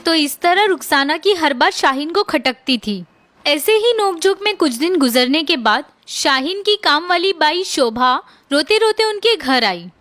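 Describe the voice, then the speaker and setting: A woman reads a scripted tale for children as the storyteller. Her voice is high, bright and very animated in pitch. Light music plays behind the narration. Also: a little slow.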